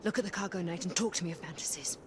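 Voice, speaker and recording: Woman playing a commanding low-voiced Indian warrior queen. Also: noisy background, close to an everyday voice. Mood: composed, firm. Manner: commanding, formal, measured. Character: proud Indian warrior queen leading rebels, commanding and composed. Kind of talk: dramatic video game acting. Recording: game music behind the voice.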